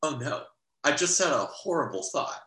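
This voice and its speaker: A man's voice: a little high, animated, moderate pace, bright, forceful tone, echoey room. A young man co-hosting a sports podcast in casual, rambling chat, full of fillers and restarts. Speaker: podcast co-host.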